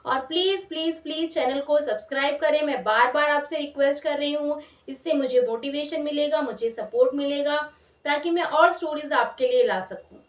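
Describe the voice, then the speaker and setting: A woman's voice: sits high, moderate pace. A woman telling a moral story expressively in everyday, conversational words, repeating phrases for emphasis. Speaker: storyteller, channel host. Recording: roomy echo, distant from mic.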